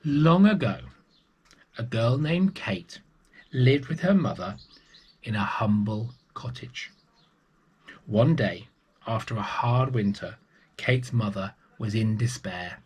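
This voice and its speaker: A man's voice: medium pitch, lively intonation, slow pace, long pauses, slightly rough. A man reading a picture book to young children expressively, with chatty asides to the listeners. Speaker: storybook reader for early years children.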